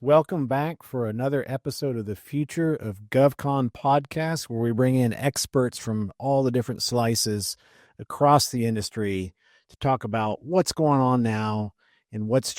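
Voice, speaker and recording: A man's voice: mid-range voice, normal speed, darkish tone, no room sound, clean studio sound. A man hosting a podcast, opening with upbeat welcoming patter before moving into the interview. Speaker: podcast host.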